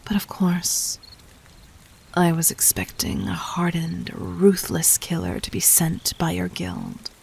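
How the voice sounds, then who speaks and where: A regal, calculating demon queen played by a woman addresses her would-be assassin in an audio roleplay. She speaks very slowly and formally, her soft voice low and creaky at the edges, often sinking toward a whisper. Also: sing-song, a little hiss or hum, exaggerated voice. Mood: measured, gentle. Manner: measured, regal, formal, deliberate.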